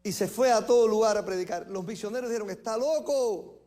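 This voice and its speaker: High-voiced Cuban pastor preaching. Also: male voice, dark tone. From Cuba. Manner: emphatic, with slow stressed phrases and self-corrections. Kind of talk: sermon.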